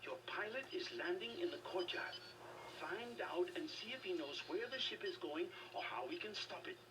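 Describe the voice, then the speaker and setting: A man's voice: sits high, expressive. An actor playing a formal, secretive male resistance leader and scientist in a video game, on a noisy distant capture. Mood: measured, cryptic. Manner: formal, measured.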